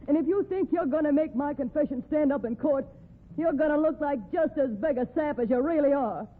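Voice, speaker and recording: Actress as high-voiced, defiant cowboy's wife, old radio. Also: female voice, a little fast, natural voice. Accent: American. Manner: folksy slangy speech, combative. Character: defiant cowboy's wife, sharp-tongued and scheming. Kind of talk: old-time radio drama acting. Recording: tinny, narrow-band old radio recording.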